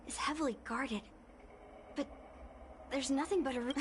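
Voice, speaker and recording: A woman's voice: high voice, normal speed, noisy background, close to an everyday voice. A woman voicing a headstrong young swordswoman in a video game, blunt, guarded and earnest. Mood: frustrated. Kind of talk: English video game voice acting. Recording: music behind the voice.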